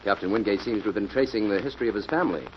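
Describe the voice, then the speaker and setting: Guy acting as sharp radio detective, deep voice, talks fast, matter of fact, muffled old mystery show. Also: natural voice. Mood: businesslike. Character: sharp, methodical male private investigator working beside police. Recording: dull, noisy old radio recording.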